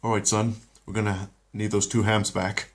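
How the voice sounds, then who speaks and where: A man's voice: fairly low pitch, little pitch movement, moderate pace, natural voice. A man voicing a smug adult male security guard with a taunting manner. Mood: stern.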